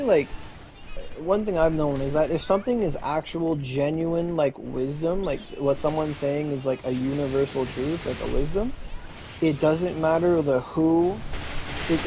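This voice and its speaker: A man talks loosely on a stream, circling his point with lots of fillers and restarts. His voice sits fairly high for a man. A faint background hiss runs under the recording. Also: a little mellow, rough recording. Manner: rambling, many fillers and restarts. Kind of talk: casual stream chat.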